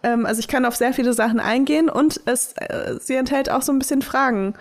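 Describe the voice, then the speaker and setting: A woman's voice: sits high, quick pace. A young woman chatting casually as a podcast co-host, often laughing. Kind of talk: casual chat.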